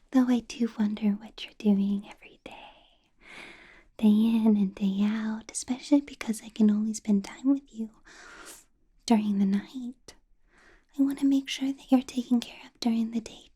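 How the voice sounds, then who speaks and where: A woman voicing a possessive ancient female vampire boss in a whispered ASMR roleplay, soft and doting with a quiet menace, close to the microphone. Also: a little high, fairly even intonation, a little slow, pauses often, slightly rough.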